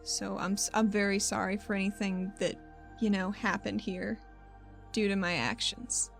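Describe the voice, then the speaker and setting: A woman's voice: medium pitch, fairly even intonation, moderate pace, gravelly, soft background music, lightly acted. A woman playing an earnest, apologetic but determined adventurer in a tabletop roleplay, speaking politely and hesitantly.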